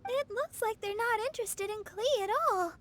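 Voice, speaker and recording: A woman voicing a youthful, lighthearted, curious female companion in a video game, girlish and casually expressive. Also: extremely high pitch, normal speed. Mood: surprised. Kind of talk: animated video game acting. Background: faint music.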